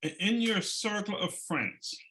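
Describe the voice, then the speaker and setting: A man's voice: sits high, animated, a little slow, sharp tone. A man hosting an interview, encouraging his guest and stammering a little as he starts his questions. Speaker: interview host. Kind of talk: casual interview.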